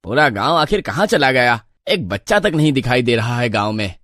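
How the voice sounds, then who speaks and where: Man acting a young villager, curious, easygoing, moral story dialogue, medium pitch, dry. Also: big pitch swings, fairly quick, fairly bright tone, no echo.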